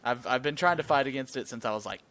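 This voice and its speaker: A man's voice: mid-range voice, fast, fairly bright tone. A man with a Southern US accent, co-hosting a casual gaming podcast, often stumbling and repeating words as he steers the topics. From Southern United States.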